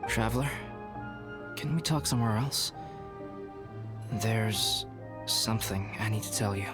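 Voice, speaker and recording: A composed young alchemist speaks with measured, formal courtesy in a slightly low voice , as a man voices him. Game music plays clearly beneath. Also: lightly acted. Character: calm young male alchemist, composed scholar.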